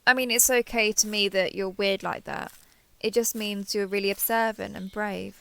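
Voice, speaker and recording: A woman's voice: mid-range voice, steady tone, moderate pace, vocal fry, sharp tone, smooth. A woman playing a sheltered young female alien, naive and obsessively devoted, talking earnestly and intimately to the listener in an audio roleplay. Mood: naive, defensive. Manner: earnest, wheedling, over-explaining.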